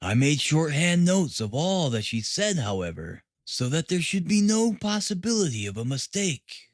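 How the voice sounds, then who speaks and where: A man reading scripted literary narration for an audiobook in a measured, formal manner. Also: a little high, sing-song, dry recording, studio quality.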